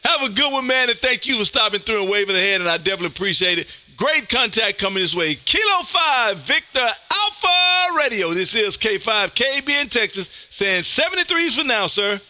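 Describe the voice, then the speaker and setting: A loud, folksy man from Mississippi hosting amateur radio contacts with an exuberant, chatty on-air delivery. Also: high voice, very animated, brisk, hardly any pauses, no echo. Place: indoor home radio room.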